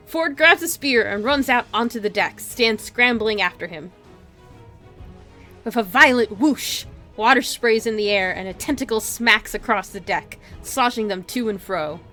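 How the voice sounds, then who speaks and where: Bright, high-voiced woman streamer. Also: measured pace, faint music behind. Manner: animated, giggly, chatty with viewers. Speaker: livestream host playing a visual novel. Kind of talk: casual stream chat and dramatic read-aloud.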